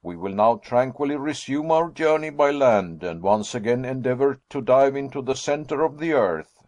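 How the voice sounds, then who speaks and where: A man voicing a jovial, excitable elderly professor and explorer who speaks in a hearty, booming voice. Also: normal speed, dry recording, lightly acted.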